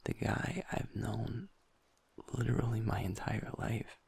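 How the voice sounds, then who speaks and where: A gentle young werewolf boyfriend, voiced by a man, speaks slowly and close to the mic in an audio roleplay. His low voice is heavily gravelly and breathy, often dropping to a half-whisper. He pauses and restarts as he confides. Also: level tone, full character voice. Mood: vulnerable, confiding. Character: gentle young male werewolf boyfriend, usually upbeat, now downcast.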